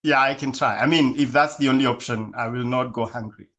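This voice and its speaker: A man from Kenya who is a guest in a casual interview. He speaks in a measured, thoughtful way and often pauses with fillers. His voice sits at an ordinary middle pitch for a man. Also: steady tone, a bit bright, dull audio.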